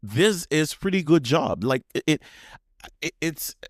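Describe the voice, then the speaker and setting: A man's voice: a little high, big pitch swings, measured pace, dry recording, studio quality. A man from Nigeria with a Yoruba accent, hosting a casual solo podcast in a rambling, conversational way that often doubles back mid-phrase. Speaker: podcast host.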